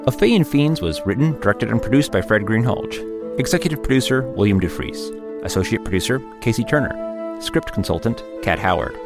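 A man's voice: on the low side, lively intonation, a little fast. A man reading scripted podcast credits as an announcer, with music behind him.

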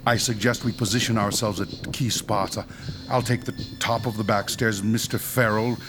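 Man acting a dignified, low-voiced paranormal doctor. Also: fairly even intonation, lightly acted. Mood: calm, commanding. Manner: formal, precise, measured. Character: dignified, pedantic male ghost-hunting doctor and team leader. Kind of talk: radio drama acting. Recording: music behind the voice.

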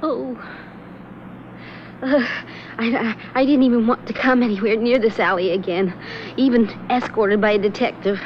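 A woman's voice: a little high, fairly even intonation, moderate pace, close to an everyday voice. An actress playing a frightened, nervous young woman who stammers, in 1970s radio drama style. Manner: stammering, fearful. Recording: dull lo-fi radio broadcast with faint hiss.

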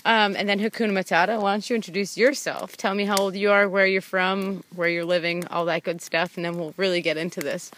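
A woman's voice: medium pitch, steady tone, brisk, few pauses, fairly bright tone, a little hiss or hum. A woman interviewing hikers at a hostel, upbeat, nervous and giggly. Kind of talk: casual field interview.

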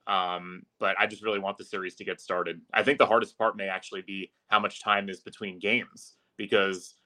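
A man's voice: low-pitched, little pitch movement, moderate pace, pushed and bright. A man who works as an arena announcer, chatting casually as a guest on a sports podcast. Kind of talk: casual sports interview chat.

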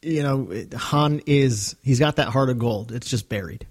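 A man's voice: medium pitch, unhurried. A man hosting a podcast, giving a casual recap in conversational fan discussion. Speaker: podcast host.

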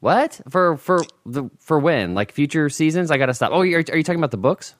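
A man hosting a podcast interview who reacts excitably and asks questions in a loose, chatty way. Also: on the high side, no echo, clean studio sound. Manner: excitable, quick reactions, laughs easily. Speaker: podcast host.

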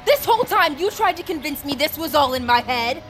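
A woman's voice: sits high, expressive, brisk, over music. An actress playing a desperate, tormented young woman in an audio drama, pleading emotionally. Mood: frustrated, urgent. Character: desperate, tormented young woman fighting a cursed tape's pull. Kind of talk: audio fiction drama acting.

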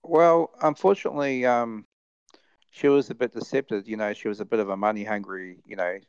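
A man chatting as a guest in a halting, repetitive, rambling way, over dull lo-fi audio. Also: fairly low pitch, steady tone, a little mellow, smooth, dry recording. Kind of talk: casual chat, anecdote telling.

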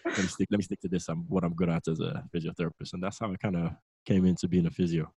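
A young man from Nigeria, a newly qualified physiotherapist, talking as a guest in a relaxed, rambling way and laughing often. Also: low voice, racing, slightly rough, no room sound. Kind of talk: casual interview chat.

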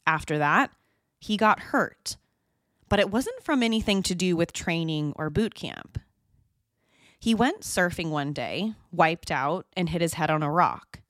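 A woman hosting a true crime podcast, telling the story in a measured, scripted narration. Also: lowish voice, very animated, a bit bright, no room sound, clean studio sound. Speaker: podcast host.

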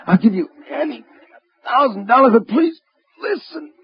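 A nervous private eye in an old radio thriller, stammering and halting as he talks. His voice is very high for a man, on a dull, hissy vintage recording. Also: animated, husky, full character voice. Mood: desperate pleading. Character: desperate, drugged male private detective, pleading and frightened. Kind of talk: old-time radio drama acting.

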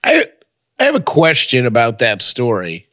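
A man's voice: medium pitch, wildly swooping pitch, normal speed, bright, forceful tone, dry recording, studio quality. A man co-hosting a podcast, chatting casually and personally. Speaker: podcast co-host. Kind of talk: casual banter and personal talk.

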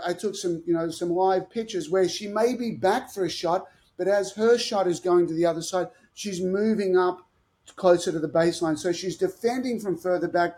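A man's voice: high voice, a little mellow. A man talking as a guest tennis analyst on a podcast interview, explaining with enthusiasm. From Australia.

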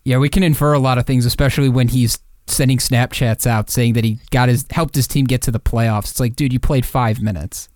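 A man's voice: mid-range voice, steady tone, brisk, hardly any pauses, darkish tone, no echo, clean studio sound. A man co-hosting a sports podcast in casual, slangy, emphatic talk with rhetorical questions.

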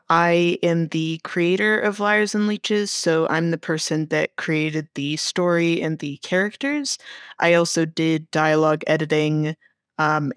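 A woman's voice: sits low, no echo. Woman being interviewed, casual explaining, really dry recording with no room. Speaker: interview guest, audio drama creator.

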